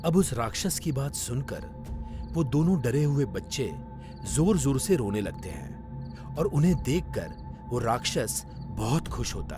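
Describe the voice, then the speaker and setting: A man narrating a scripted children's story as its storyteller. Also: mid-range voice.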